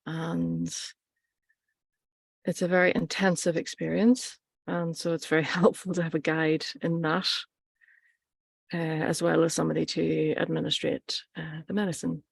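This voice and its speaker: A woman teaches in an informal, reflective manner, pausing between short phrases. Her voice sits on the low side and stays fairly even in melody, with a noticeable creak at the edges. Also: no room sound. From Ireland. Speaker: workshop teacher and plant practitioner.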